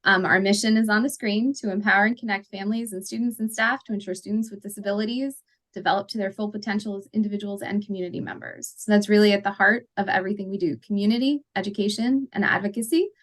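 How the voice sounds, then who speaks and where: A woman's voice: medium pitch, steady tone, quick pace, fairly bright tone. A woman hosting an online parent group meeting, talking casually with fillers and introducing speakers.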